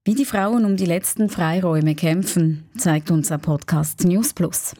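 A woman presenter reading a short news teaser in a measured way. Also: lowish voice, dark tone, no echo, studio quality.

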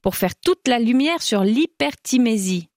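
A woman with a Swiss French accent hosting a comedy quiz, brisk and upbeat. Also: fairly high pitch, lively intonation, a little mellow. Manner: brisk, upbeat, introduces panelists. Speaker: quiz host.

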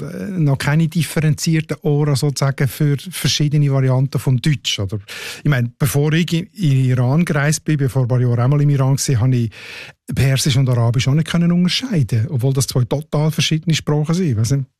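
A man's voice: on the high side, brisk, a little mellow, no room sound, studio quality. A man with a Swiss German accent, chatting on radio as the language expert, who explains patiently and often tags his sentences with a questioning "oder?".

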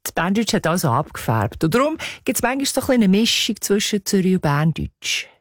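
A woman radio reporter with a Swiss German accent and a slightly husky voice, narrating a scripted feature. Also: medium pitch, sing-song, brisk, dry recording, studio quality.

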